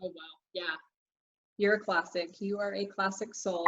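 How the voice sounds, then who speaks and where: Female podcast-style host, informal and affirming, mid-pitched, on a slightly muffled recording. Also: little pitch movement, normal speed, fairly bright tone, slight background noise. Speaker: interview host. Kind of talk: casual quick-question chat. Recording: slightly dull sound.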